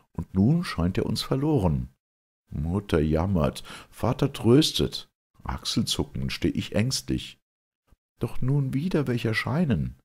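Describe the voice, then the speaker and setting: A man's voice: lowish voice, darkish tone, breathy edge, heavily acted. A man voicing an ancient, boastful hag who tells tales in theatrical, declaimed verse drama. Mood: awed. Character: ancient sinister hag storyteller Phorkyas, animated and boastful.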